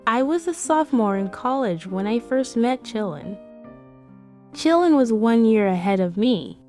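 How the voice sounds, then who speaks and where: A woman's voice: on the high side, big pitch swings, unhurried, darkish tone. A woman voicing a heartbroken young office worker who narrates her breakup in a romance comic dub. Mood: wistful. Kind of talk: scripted first-person comic dub narration. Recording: music behind the voice.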